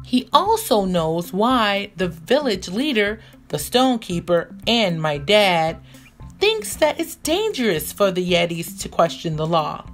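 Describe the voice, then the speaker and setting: Slow, very lively woman reading a storybook. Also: medium pitch. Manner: expressive, deliberate storytelling. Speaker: children's storybook reader. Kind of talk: animated read-aloud narration for young children. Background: music.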